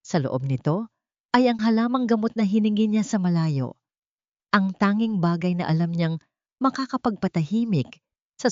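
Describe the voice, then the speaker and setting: A woman's voice: fairly low pitch, mellow. A woman narrating an audiobook drama in a solemn, melodramatic scripted style. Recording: dull lo-fi audio with room echo.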